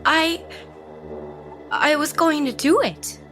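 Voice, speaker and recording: An actress playing a shaken, frightened young woman in an audio horror drama, speaking in halting, urgent bursts. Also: high-pitched. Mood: horrified, shaken. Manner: halting, urgent, distressed speech. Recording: music under the voice.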